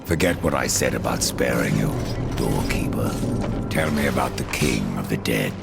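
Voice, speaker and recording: An actor playing a grim, sardonic horseman of the apocalypse in a video game, gravelly and dryly menacing, over music. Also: very deep voice, lively intonation, normal speed, heavily acted. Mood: threatening. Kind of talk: dramatic video game voice acting. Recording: loud music under the voice.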